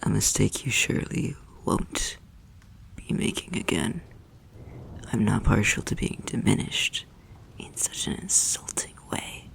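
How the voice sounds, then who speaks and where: An ASMR roleplay performer voicing a haughty, possessive demon who condescends to and teases a human captive, often in a breathy whisper. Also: sits low, very animated, unhurried, a bit bright, heavily acted, poor audio. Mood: haughty, mocking. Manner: condescending, teasing, imperious, theatrically formal.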